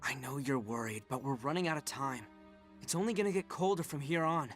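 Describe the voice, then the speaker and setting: Actor as earnest young lieutenant over swelling music. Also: male voice, mid-range voice, brisk, lightly acted. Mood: measured, worried. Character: earnest young army lieutenant, grave and resolute. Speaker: game voice actor playing a lieutenant and narrating. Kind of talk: dramatic scripted game dialogue and narration.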